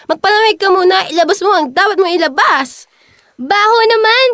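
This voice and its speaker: Woman as a very high-pitched campy teasing friend. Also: animated, moderate pace, fairly bright tone, no room sound, clean studio sound. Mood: teasing, gleeful. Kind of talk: amateur radio drama acting.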